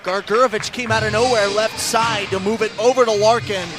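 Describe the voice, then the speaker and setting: A man doing live radio play-by-play commentary of an ice hockey game, describing the action in rapid detail and lifting his voice with the action. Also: high-pitched, fairly even intonation, music in the background, a crowd in the background. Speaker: play-by-play sports announcer.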